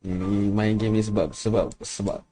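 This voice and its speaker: A man's voice: lowish voice, normal speed. A Malaysian man chatting casually as he live-streams a game, mixing in English words. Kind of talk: casual live-stream chat while gaming. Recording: faint steady background noise.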